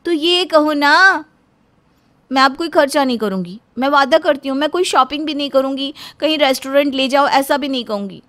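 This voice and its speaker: A woman's voice: high voice, moderate pace, fairly bright tone, voice pushed up. A woman voicing a petulant, boastful young housewife in a cartoon story, whiny, dramatic and insistent. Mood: pleading.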